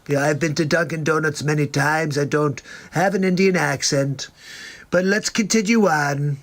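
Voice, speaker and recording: A man hosting a comedy livestream talks over the clips he plays in a somewhat high voice. His asides are sarcastic and incredulous, and he sounds like he is streaming from a home setup. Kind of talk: mocking commentary between clips.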